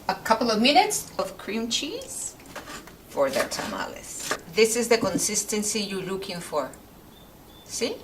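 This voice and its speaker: A woman's voice: mid-range voice, pushed and bright, distant mic, a little hiss or hum. A woman from Mexico with a Mexican accent, a native Spanish speaker, hosting an informal home recipe demonstration. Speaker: home cooking video host. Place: kitchen.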